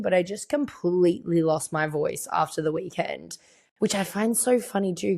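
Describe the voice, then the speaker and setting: A young woman with a croaky, partly lost voice, chatting reflectively as a podcast host and voicing her own thoughts as she tells a story. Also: fairly low pitch, dark tone. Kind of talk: casual storytelling monologue.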